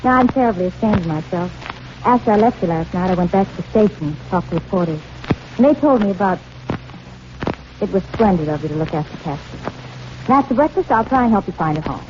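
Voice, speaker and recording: An actress playing a breezy, frank young cab driver with a teasing manner in a 1940s radio play. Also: female voice, medium pitch, animated, fast talker, natural voice. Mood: apologetic, friendly. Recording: noisy, muffled 1940s radio recording.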